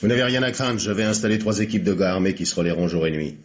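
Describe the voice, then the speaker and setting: A male actor plays a brisk, confident policeman with a deep voice and rapid, reassuring delivery. It is old French radio drama with a dull, narrow sound. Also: lightly acted. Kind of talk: old-fashioned French radio mystery acting.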